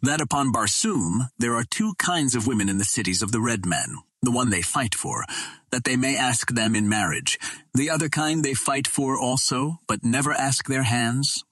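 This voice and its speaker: A man plays a young alien princess of an audiobook romance. She is proud and resigned, speaking in sorrowful, formal tones. The voice is husky and close in a dry recording. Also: mid-range voice, expressive, moderate pace, fairly bright tone, no echo, pitched above the performer's own voice. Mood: wistful, confessing. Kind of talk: dramatic audiobook dialogue.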